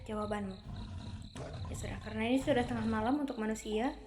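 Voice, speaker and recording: An aloof young vampire woman, voiced by a woman in an ASMR roleplay, calm and protective, talking about dark things as if they were nothing. The voice sits a little high and carries an Indonesian accent. A steady hiss and crickets run under the recording. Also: quick pace, lightly acted.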